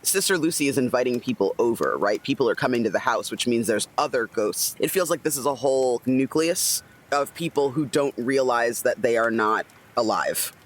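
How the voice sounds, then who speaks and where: A man's voice: mid-range voice, moderate pace. A man playing a tabletop roleplaying game, casually reasoning aloud about his character and checking in with listeners.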